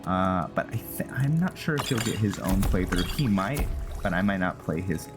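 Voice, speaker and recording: A man giving casual gameplay commentary as the host of a playthrough, halting as he thinks out loud. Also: low-pitched, lively intonation. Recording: music behind the voice. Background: game music.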